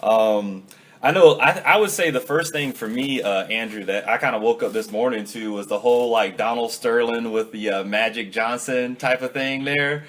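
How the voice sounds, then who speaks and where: A man hosting a casual podcast, talking in a relaxed, loose way with lots of fillers. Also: mid-range voice, normal speed. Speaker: podcast host. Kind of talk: casual chat.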